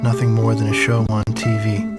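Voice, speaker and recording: An actor playing a reflective man who recalls his wartime childhood in measured, somber game-story narration. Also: fairly low pitch, completely flat delivery, close to an everyday voice. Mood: wistful, somber. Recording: music behind the voice. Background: prominent music.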